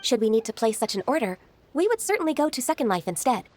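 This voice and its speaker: An actress playing a gentle, composed and shrewd young secretary in a video game, speaking politely and thoughtfully. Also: female voice, a little high, big pitch swings, fast, slight background noise. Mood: coolly polite.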